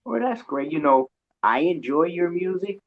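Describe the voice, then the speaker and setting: A man's voice: sits high, expressive, normal speed. A man hosting a casual interview in an informal, colloquial, joking way. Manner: informal, colloquial, self-described loud joker. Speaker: radio show host. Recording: dull lo-fi audio.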